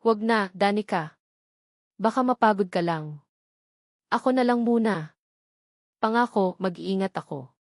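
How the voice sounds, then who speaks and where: A woman's voice: medium pitch, measured pace, pauses often, no echo, clean studio sound, lightly acted. A woman voicing an earnest, protective young man in an audio drama, speaking in a tender, reassuring way to the one he loves.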